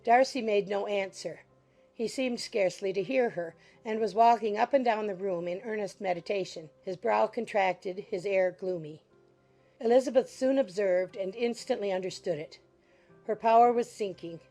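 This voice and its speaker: A woman's voice: medium pitch, normal speed, warm tone, soft background music. A woman giving a scripted literary narration for a dramatized audiobook.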